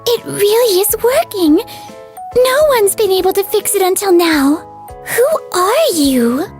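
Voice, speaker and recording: Woman acting very high, earnest young manager. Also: very animated, measured pace, darkish tone, faint music behind, full character voice. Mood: amazed, grateful. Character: earnest, anxious young female factory manager. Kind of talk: scripted manga dub acting.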